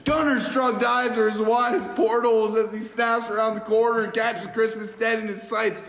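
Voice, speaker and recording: A man's voice: high-pitched, steady tone, moderate pace, fairly bright tone. A man reading an action film script aloud theatrically, stumbling over words now and then. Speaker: podcast host reading narration aloud. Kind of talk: dramatic read-aloud of an action film script among friends. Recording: echoey reverberant room, faint noise.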